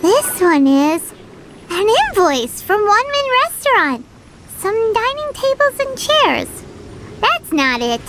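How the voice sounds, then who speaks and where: Tiny excitable fairy girl, very high and squeaky, swooping wildly up and down, game-character energy. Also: slow, noisy background, full character voice. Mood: chirpy. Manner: excitable, exclaiming. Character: tiny floating female fairy companion, chirpy. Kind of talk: video game voice acting.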